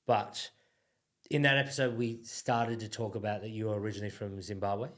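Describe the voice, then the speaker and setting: A man hosting a podcast, asking questions in easy casual chat and cracking crude jokes. His voice is on the low side. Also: flat delivery, brisk, a bit bright. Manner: joking and crude, laughs often. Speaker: podcast host.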